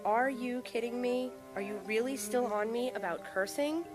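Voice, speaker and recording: A woman's voice: a little high, normal speed, music in the background, close to an everyday voice. A young woman playing a rebellious, sarcastic teenage girl arguing with her strict father. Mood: defiant. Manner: sarcastic, defiant.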